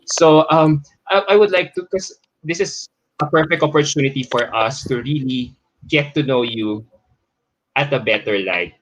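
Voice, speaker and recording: A man hosting a conversational interview, framing long questions haltingly and repeating his phrases as he goes. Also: medium pitch. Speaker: live show host, interviewer. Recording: clearly noisy background with clicks.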